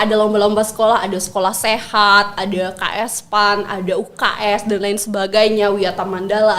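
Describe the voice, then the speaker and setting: A young adult woman chatting casually as an interview guest, expressive and quick to laugh. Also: on the high side. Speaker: podcast guest, MC and public speaking trainer.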